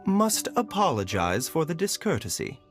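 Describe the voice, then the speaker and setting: A man voicing a genteel, composed pharmacist in an anime-style video game, speaking with polite, measured formality. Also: a little high, huge pitch swings, faint music behind. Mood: deferential.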